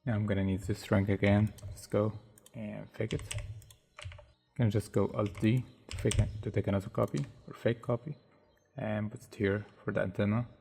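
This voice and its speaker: Low gravelly man talking through a tutorial. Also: steady tone, slight background noise. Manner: thinks aloud, halting, low-key. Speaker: tutorial host. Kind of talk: casual screen-recorded modeling tutorial. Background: soft background music.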